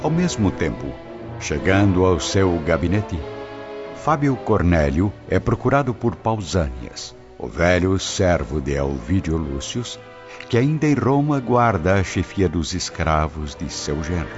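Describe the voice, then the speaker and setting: A man's voice: deep voice, very animated, measured pace. A man narrating a radio drama from a script in a formal, theatrical style. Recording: dull lo-fi radio drama recording. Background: music.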